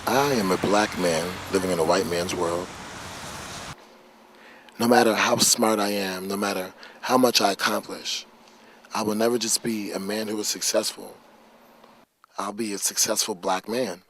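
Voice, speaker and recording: Young male student, low voice, measured and defiant, reflective monologue. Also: steady tone, fairly bright tone, a little hiss or hum. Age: young adult. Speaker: college student.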